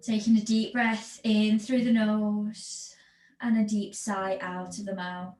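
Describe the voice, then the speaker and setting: Slow-paced woman guiding yoga, echoey room. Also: mid-range voice, little pitch movement, a little mellow. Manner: soothing, unhurried, with long pauses between phrases. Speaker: yoga teacher. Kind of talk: guided yin yoga and relaxation instruction. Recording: echoey room with background noise.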